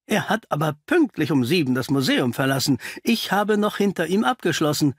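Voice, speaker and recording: A man voicing a rattled, agitated village doctor who talks haltingly in a storybook reading. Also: on the high side, very animated, breathy edge, dry recording, clean studio sound, heavily acted. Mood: uneasy.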